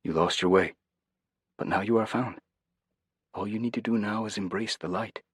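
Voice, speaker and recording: A man voicing a calm, fatherly, devout interrogator who speaks reassuringly. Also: on the low side, moderate pace, slightly rough, no echo, clean studio sound, voice pushed up. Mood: soothing.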